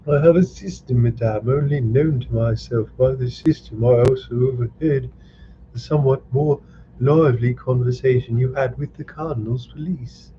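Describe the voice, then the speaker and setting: A man's voice: mid-range voice, moderate pace, dark tone, dull audio, slight background noise, voice pushed up, close to an everyday voice. A man voicing a bold, gallant young swordsman, speaking in a confident, courtly, declamatory way.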